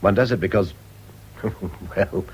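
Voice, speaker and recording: An actor playing a wealthy, middle-aged English husband in a radio drama, witty, sardonic and self-satisfied, with a drawling, epigrammatic manner. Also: male voice, low voice, slow pace, slight background noise. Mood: cynical.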